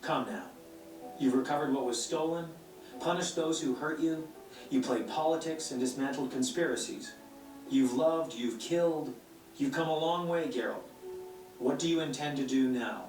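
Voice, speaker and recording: An actor playing a theatrical, eloquent bard in a video game, speaking with a storyteller's dramatic, wry phrasing. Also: male voice, on the high side, normal speed, a little mellow, natural voice. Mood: wry, probing. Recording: roomy game playback, distant, music underneath.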